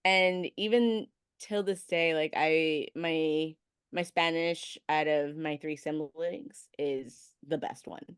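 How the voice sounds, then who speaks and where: A woman co-host with a somewhat low voice tells her stories with animation. The sound is dry and close, with no room around it. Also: steady tone, slow pace, clear, resonant tone, dry recording. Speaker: podcast co-host. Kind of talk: casual podcast chat.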